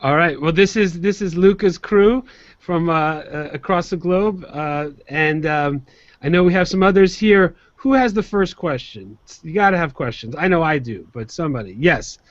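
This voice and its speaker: A man's voice: fairly high pitch, moderate pace, dry recording. A man hosting a student Q&A, loose and encouraging, calling on people to ask questions. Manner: loose, encouraging, lots of fillers. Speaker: show host. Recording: dry, dull lo-fi sound.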